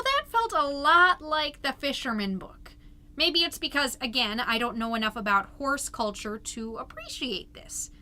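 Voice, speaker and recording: Perky suburban mom podcast host, played by a woman, very high bright voice, chatty and fretful, scripted comedy. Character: fretful, chipper suburban mom hosting a neighborhood newscast.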